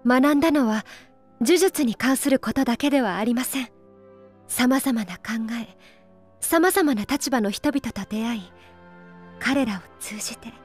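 A high, youthful female voice as a dignified game princess. She is earnest and composed, with polite, formal phrasing. Soft music plays underneath. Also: expressive, unhurried. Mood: dignified, resolute. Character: earnest, dignified young princess leading on the front line. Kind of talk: Japanese video game voice acting.

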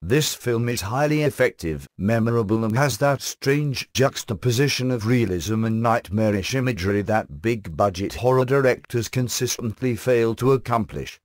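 A man's voice: mid-range voice, animated, moderate pace, hardly any pauses, no room sound. A British man narrating a scripted comic review as the host, in a sardonic, dry-humoured manner.

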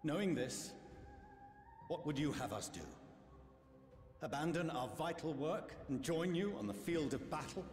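A man's voice: fairly high pitch, unhurried, pauses often, a little mellow, close to an everyday voice. A man playing a proud, unyielding councilman in a video game, speaking in a solemn, declamatory manner. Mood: resolute. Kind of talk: scripted video game acting. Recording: echoey hall reverb, music behind.

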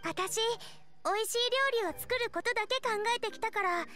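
A woman's voice: very high voice, normal speed. An actress playing a cheerful, eager young girl chef in an anime game, bubbly and earnest. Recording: clean studio voice over soft music.

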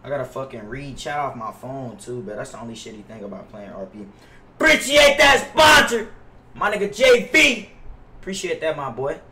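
A man's voice: medium pitch, lively intonation, moderate pace, crowd noise. A young American man livestreaming a game, chatting casually in slang with plenty of swearing and repeated phrases. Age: young adult. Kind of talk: casual livestream chat with viewers. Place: small indoor room at a gaming setup. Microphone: gaming headset. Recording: roomy, distant mic, faint noise, keyboard clicks.

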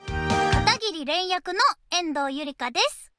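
Fan greeting: woman voice actor, very high, animated, over loud music. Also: slow. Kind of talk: promotional comment to fans.

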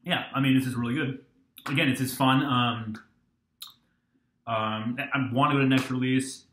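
A man's voice: medium pitch, fairly even intonation, moderate pace, fairly bright tone, roomy sound. A young man in his twenties casually reviewing a beer, rambling with lots of ums and restarts, filmed on a webcam at a desk in a small room. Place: small dorm-like room indoors.

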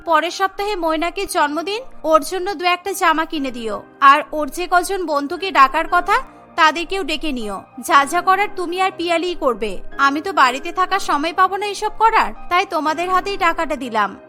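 A woman's voice: extremely high pitch, animated, moderate pace, sharp tone, soft background music, heavily acted. A woman voicing a weary, dutiful and caring sister-in-law in a family cartoon. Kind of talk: cartoon dialogue.

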